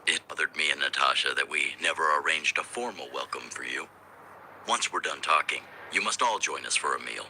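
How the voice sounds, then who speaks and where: Game chief, older man: gruff, hearty, easygoing, very low and hard-edged. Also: a little fast, pushed and bright, slight background noise, full character voice. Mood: hospitable. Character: older male clinic-based chief, gruff but hospitable. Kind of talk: video game voice acting.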